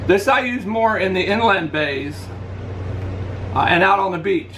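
A middle-aged man talks to a webcam in his living room in a relaxed, practical way. His voice sits fairly high for a man. A faint air conditioner hum runs underneath. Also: measured pace, low-quality recording. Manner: relaxed, practical, shows lures to camera. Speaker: fishing channel host. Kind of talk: casual gear review. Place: indoors, living room at home.

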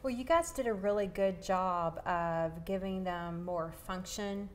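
A woman's voice: fairly low pitch, measured pace, a little hiss or hum. A middle-aged woman with a Southern US accent, hosting a home segment in a polished, upbeat presenter manner. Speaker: TV show host. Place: large home kitchen, indoors.

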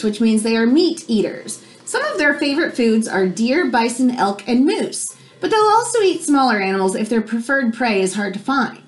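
American woman, thirties, fairly high voice, children's read-aloud, simple teacherly explanations, indoors at home. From United States. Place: small room at home, indoors.

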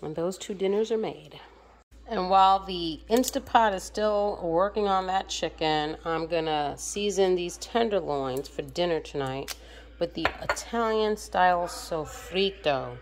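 A woman's voice: on the low side, unhurried, a little hiss or hum. A woman from the United States in her home kitchen, chatting through each step of her meal prep as she cooks. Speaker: home cook vlogger. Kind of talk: casual cooking narration.

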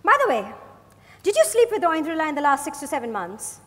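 A woman's voice: high voice, sing-song, a little fast, fairly bright tone. A woman on stage playing a probing, commanding female investigator, with pointed and insistent questioning. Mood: stern, accusing. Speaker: stage actor. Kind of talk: dramatized play reading on stage. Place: large hotel ballroom stage. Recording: echoey hall from far off.

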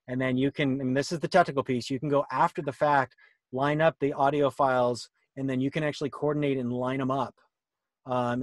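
A man's voice: mid-range voice, no room sound. A middle-aged American man answering questions in a conversational, explanatory way on a video call. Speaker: workshop presenter answering questions. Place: indoor room, on a video call.

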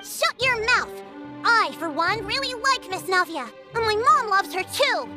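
A woman's voice: very high voice, animated, a little slow. A woman voicing a small, plucky, loyal child in a video game, spirited and emphatic. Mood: indignant, loyal. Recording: loud music and crashing game effects.

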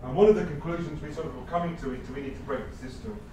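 A man with an Australian accent summarising his table's discussion in a loud, searching way, often restarting his sentences, recorded from far off in a large conference room. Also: on the high side, racing. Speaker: table spokesperson. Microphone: far room mic, no microphone. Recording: echoey room from far off, noisy.

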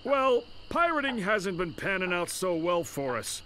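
An actor playing a sarcastic, talkative small-time pirate in a video game, wry and theatrical. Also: male voice, high voice, big pitch swings, measured pace, bright, forceful tone, slight background noise, heavily acted.

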